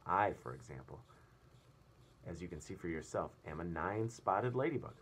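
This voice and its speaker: A man's voice: deep voice, expressive, moderate pace, fairly bright tone, a little hiss or hum. A man voicing a proud, prim female ladybug from a storybook, genteel and self-satisfied.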